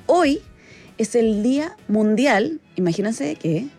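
A woman's voice: on the high side, animated, slow pace, warm tone. A woman with a Chilean accent presenting a chatty live radio show, speaking directly to listeners and her colleague in a conversational way. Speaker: radio host. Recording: music bed behind studio radio voice. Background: background music.

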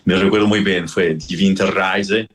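Male singer, lowish voice, reflective and explanatory interview guest. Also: steady tone, a little slow. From Chile. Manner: thoughtful, explanatory, with frequent fillers. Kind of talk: conversational radio interview.

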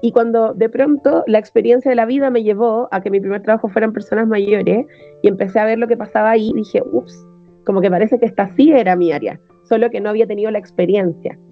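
A woman's voice: mid-range voice, steady tone, quick pace, highs cut off, faint music behind. A woman hosting an interview, talking fluently and conversationally. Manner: fluent, conversational, uses colloquial fillers. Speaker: interviewer, host. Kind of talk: interview chat.

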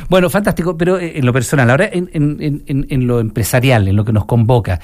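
A man's voice: mid-range voice, quick pace, darkish tone. A Chilean man hosting a radio interview in a chatty way, often cutting in on his guest. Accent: Chilean Spanish. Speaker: radio show host.

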